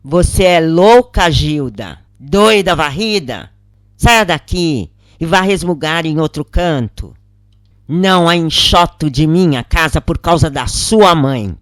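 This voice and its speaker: A woman's voice: deep voice, expressive, slow, no echo, studio quality. A woman with a Brazilian accent voicing a cruel, haughty lady of the house, mocking, in an audio story. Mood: mocking contempt. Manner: scornful, mocking.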